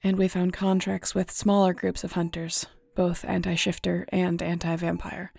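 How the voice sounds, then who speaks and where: Actress as somber shifter woman, audio roleplay: lowish voice, dry close sound, conversational, burdened by guilt. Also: little pitch movement, normal speed, gravelly, a little mellow, no echo. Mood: matter-of-fact briefing. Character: weary shifter woman investigator, guilt-ridden reluctant heir.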